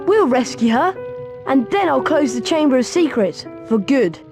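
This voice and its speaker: High, excitable young schoolboy wizard. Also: female voice, normal speed, over music. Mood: earnest, determined. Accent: British. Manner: urgent, earnest. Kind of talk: video game character acting.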